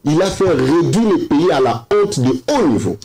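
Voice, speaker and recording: A man's voice: fairly high pitch, animated, moderate pace, a crowd in the background. A man with a Congolese accent arguing as a panelist in a televised political debate, emphatic and repeating himself for force. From Democratic Republic of the Congo. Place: TV studio round table. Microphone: desk microphone.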